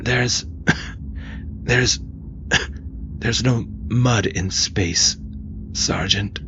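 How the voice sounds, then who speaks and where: A man voicing an exhausted young female military recruit, defiant but croaking and coughing, in an audiobook. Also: on the low side, drawn-out words, muffled recording, noisy background, pitched above the performer's own voice. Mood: strained defiance. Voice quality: croaking, breathy, strained. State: coughing, spent.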